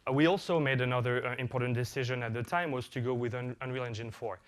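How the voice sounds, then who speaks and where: A man's voice: mid-range voice, steady tone, fast talker, clean tone. A man whose first language is French, giving a conference talk as an executive producer, with many fillers and restarts.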